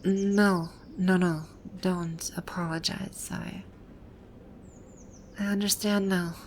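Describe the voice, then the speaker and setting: A woman's voice: lowish voice, little pitch movement, slow pace, a little hiss or hum. A woman voicing a haughty, bossy video-game villainess who stammers and halts when flustered, in an ASMR roleplay. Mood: softened, wondering. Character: haughty, flustered female video-game boss brought to life. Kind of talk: ASMR roleplay audio drama.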